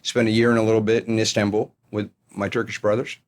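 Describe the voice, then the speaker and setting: A man's voice: on the low side, flat delivery, fast. A middle-aged American man telling stories from his career as a guest in a conversational interview. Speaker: podcast guest, retired army colonel.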